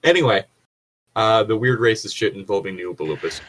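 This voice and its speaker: Low-pitched man in rambling casual commentary. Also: fairly quick, fairly bright tone, rough recording. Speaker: podcast co-host. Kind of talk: casual reading with joking commentary.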